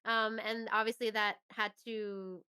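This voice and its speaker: A woman with a bright, cleanly resonant voice talks as a guest in a relaxed interview. She sounds thoughtful and self-reflective as she explains herself in a conversational way. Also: medium pitch, a little slow, clear, resonant tone. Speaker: interview guest, musician and actor.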